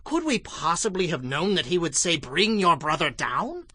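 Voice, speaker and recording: A man's voice: on the high side, very animated, moderate pace, sharp tone, dry recording, heavily acted. An actor playing a defensive grown Hebrew son, insistently protesting his innocence in a dramatized scripture production. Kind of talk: dramatized scripture audio acting.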